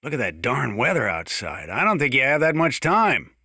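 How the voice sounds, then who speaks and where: An actor playing a gruff, plain-spoken old small-town mountain sheriff with an American accent, talking over the radio in a video game. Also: male voice, mid-range voice, sing-song, pushed and bright, no echo, clean studio sound, exaggerated voice. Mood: grim, resigned. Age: older. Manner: folksy, plain-spoken, drawn-out hesitations. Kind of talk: in-game radio broadcast monologue.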